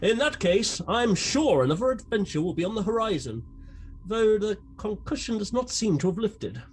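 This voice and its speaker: A man playing a dry, befuddled gentleman detective in a comic radio drama, hesitant and theatrical. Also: high-pitched, sing-song, normal speed, slight background noise. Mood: dry, muddled.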